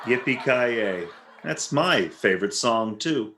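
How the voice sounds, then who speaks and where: A man's voice: mid-range voice, animated, takes it slowly, a bit bright, slight background noise. A man playing a jealous, exasperated husband in a 1940s-style radio comedy, sarcastic and huffy. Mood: wry, suspicious. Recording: roomy, echoing recording.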